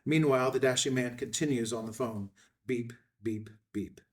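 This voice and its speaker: A man reading screenplay stage directions as narrator in terse, measured, declarative phrases at a table read. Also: medium pitch, recorded from a distance.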